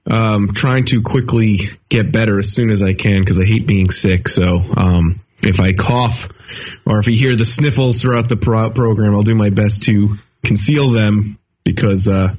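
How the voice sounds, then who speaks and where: A man's voice: fairly low pitch, steady tone, moderate pace, darkish tone. A man co-hosting a casual radio talk show, sounding nasal and stuffed up from a cold, rambling with lots of fillers. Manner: rambling, filler-heavy, laughs often. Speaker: co-host.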